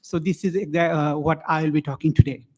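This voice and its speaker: A man's voice: fairly high pitch, steady tone, fast talker, a little mellow. A man from Bangladesh presenting research at a conference, talking to the room with many fillers and a friendly manner. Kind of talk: academic conference talk.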